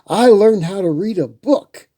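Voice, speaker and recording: A storyteller voicing an excited, curious little girl from a picture book. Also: medium pitch, a little slow, voice pushed up, natural voice. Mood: proud, eager.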